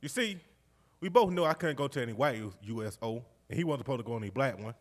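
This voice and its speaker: Man playing jovial Southern veteran, lively swinging pitch. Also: fast talker, lightly acted. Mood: reminiscing, earnest. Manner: exuberant, folksy, chuckling. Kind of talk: live stage storytelling monologue, conversational. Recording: live stage recording in recreation hall.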